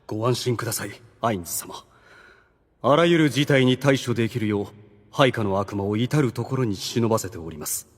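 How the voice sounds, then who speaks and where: A man's voice: mid-range voice, in a large hall, close to an everyday voice. A man playing a suave, devoted demon strategist who speaks with polite relish, in a Japanese anime audio drama. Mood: reverent. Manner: polite, smooth-talking, deliberate flattery.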